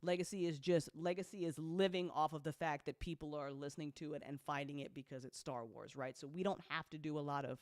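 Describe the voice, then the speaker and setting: A woman's voice: deep voice, fairly even intonation, fairly quick, hardly any pauses, dry recording, clean studio sound. A woman hosting a casual update talk as an audio drama producer, speaking bluntly and informally with playful slang and free swearing.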